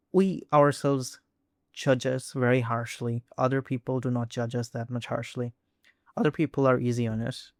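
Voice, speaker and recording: A man's voice: medium pitch, a little mellow, dry recording, studio quality. A young man from India with an Indian English accent, talking casually to camera, hesitant with frequent restarts and fillers. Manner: halting, many restarts and fillers. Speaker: vlogger. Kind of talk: casual personal talk to camera.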